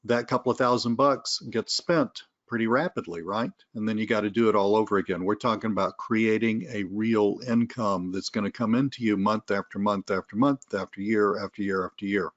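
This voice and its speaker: Chatty man pitching on a webinar. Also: fairly even intonation, brisk, hardly any pauses. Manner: chatty, persuasive, many fillers. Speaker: webinar co-host. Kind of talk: casual sales pitch. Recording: dull lo-fi webinar audio.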